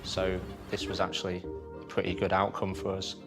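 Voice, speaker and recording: A male paramedic in an interview, explaining in a measured, plain way in an indoor room. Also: deep voice, monotone. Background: music.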